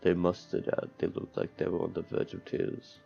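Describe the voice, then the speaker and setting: A man with a deep, creaky voice reads visual novel text aloud as he plays. He breaks in with crude, sardonic, sweary asides. The mic is dull and lo-fi, with game music behind him. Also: completely flat delivery, moderate pace, warm tone. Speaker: streamer playing a visual novel. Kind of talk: let's play, reading game text aloud with commentary.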